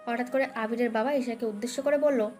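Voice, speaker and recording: A woman's voice: a little high, fairly even intonation, fast, a little mellow. A woman narrating a dramatic romance story as a scripted audio tale. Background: background music.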